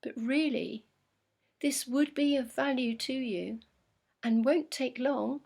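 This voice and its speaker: A woman doing a calm coaxing wise owl. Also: high voice, creaky, heavily acted. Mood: persuasive. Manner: measured, coaxing.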